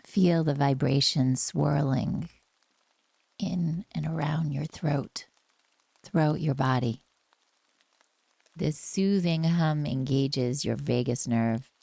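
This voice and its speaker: A woman with a soft, breathy voice gently leading a guided relaxation meditation in a soothing, unhurried manner. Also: deep voice, no room sound.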